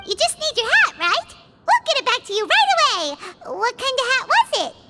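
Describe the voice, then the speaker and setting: High-pitched chatty game fairy, super squeaky, loud game music behind. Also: female voice, huge pitch swings, moderate pace, exaggerated voice. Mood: excited. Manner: chattery, refers to herself in the third person. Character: tiny floating fairy girl companion, excitable. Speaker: game character.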